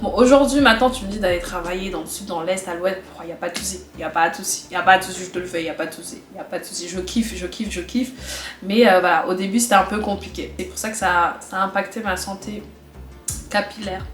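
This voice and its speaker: A woman's voice: on the low side, quick pace, fairly bright tone, faint music behind. Woman with a cold, echoey room. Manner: chatty, repeats phrases for emphasis. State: has a cold, stuffy. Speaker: vlogger. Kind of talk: casual talk to camera.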